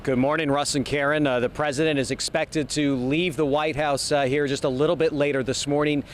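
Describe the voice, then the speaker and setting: A man's voice: steady tone, a little fast. A man reporting live as a television news field reporter from outdoors on a city street. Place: outdoors on a city street outside an event venue. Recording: outdoor street noise, traffic rumble.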